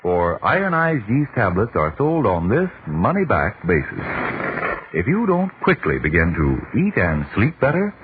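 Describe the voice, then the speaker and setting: Animated low-voiced 1940s radio announcer man. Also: measured pace. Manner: polished sales pitch, emphatic. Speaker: radio announcer reading a sponsor's ad. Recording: tinny, noisy 1940s radio broadcast.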